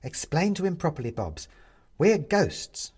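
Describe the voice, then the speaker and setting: A man's voice: on the high side, very animated, a little slow, darkish tone, husky, pitched above the performer's own voice, exaggerated voice. A man voicing a tiny, prim Victorian china lady ghost in a storybook, solemn and bossy, relishing her words. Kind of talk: storybook character voice.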